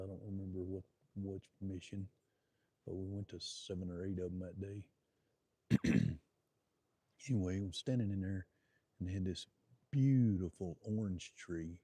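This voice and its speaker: A man's voice: low-pitched, warm tone, husky, no room sound. A middle-aged man with an Oklahoma accent, chatting folksily to live-stream viewers from a deer blind and telling a rambling story.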